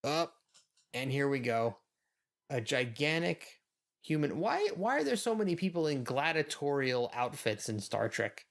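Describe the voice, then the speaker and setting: A man with a mid-pitched voice talks through an episode as a podcast co-host. His delivery is casual and musing, with a wry, amused streak. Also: very animated, unhurried, gravelly, fairly bright tone.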